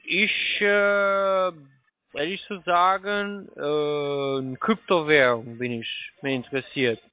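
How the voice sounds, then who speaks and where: A man with a foreign accent in non-native German making a polite, halting telephone sales pitch over a phone line. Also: steady tone, no room sound. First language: not German. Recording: tinny, narrow phone line.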